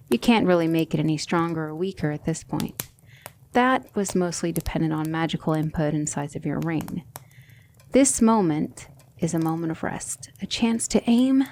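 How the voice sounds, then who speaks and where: Low-voiced gentle demon tutor played by a woman. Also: a little hiss or hum. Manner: measured, instructive, formal wording, often dropping to near-whisper. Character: gentle, patient demon tutor guiding a magic student, female. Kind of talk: scripted ASMR roleplay.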